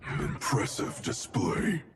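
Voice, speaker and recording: An actor playing a proud, brooding male warrior with a gravelly, clipped delivery in a video game cutscene. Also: a little high, sing-song, slow pace, very noisy, full character voice. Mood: defiant. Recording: game cutscene over loud score.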